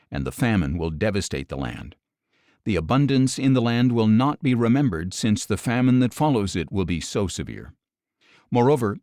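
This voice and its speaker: A man reading scripture aloud as a wise, humble young dream interpreter, measured and earnest. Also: lowish voice, darkish tone, dry recording, studio quality, natural voice.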